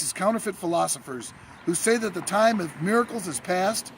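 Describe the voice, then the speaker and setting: A man with a high voice full of gravel delivers a scripted political speech as if pleading before a court. He is emphatic and declamatory, pausing for dramatic weight. A faint noise sits behind the recording. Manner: declamatory, emphatic, with dramatic pauses and rhetorical questions. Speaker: writer reading own essay. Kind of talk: theatrical courtroom-style oratory, scripted political monologue.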